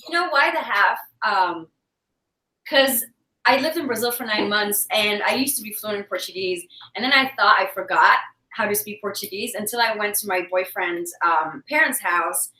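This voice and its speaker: Chatty Belgian-born woman, echoey and bright. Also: medium pitch, normal speed, recorded from a distance. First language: French. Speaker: guest actress and creator. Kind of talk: casual chat. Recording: echoey room, away from mic.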